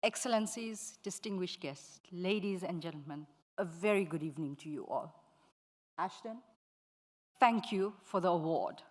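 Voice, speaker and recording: A woman's voice: on the low side, normal speed. A woman delivers a formal acceptance speech as an award winner. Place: large indoor ceremony hall. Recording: echoey hall with long reverb.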